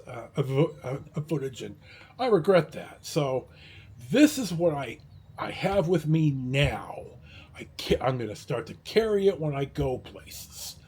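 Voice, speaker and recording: Guy vlogging off the cuff, kinda raspy, pitch jumps around a lot, rambles and stumbles, blunt. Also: a little mellow. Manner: rambling, halting, blunt and mildly profane. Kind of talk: casual rambling vlog talk.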